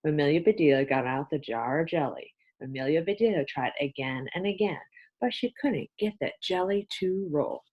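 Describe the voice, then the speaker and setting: Lower-pitched woman reading a children's storybook. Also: expressive, moderate pace, breathy edge, lo-fi sound. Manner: explains to young listeners in asides. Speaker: teacher reading aloud. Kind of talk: children's storybook read-aloud with asides.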